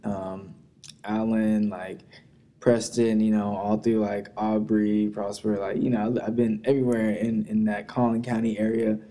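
A man's voice: lowish voice, flat delivery, unhurried, warm tone. A young man from Texas, a musician guest on a podcast, talking in a laid-back, rambling way with lots of fillers. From Texas, USA. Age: young adult. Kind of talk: casual conversation.

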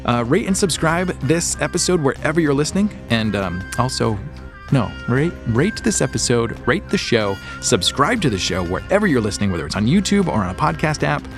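A man's voice: mid-range voice, sing-song, brisk, music in the background. A man hosting a podcast solo, chatting informally and enthusiastically to his listeners and thinking aloud as he goes. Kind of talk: casual rambling show update to listeners.

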